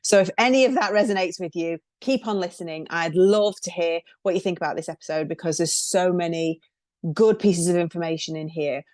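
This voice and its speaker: A woman's voice: fairly low pitch, brisk, a little mellow, dry recording. A woman hosting a podcast, introducing her guest in a chatty, enthusiastic way with lots of fillers. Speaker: podcast host.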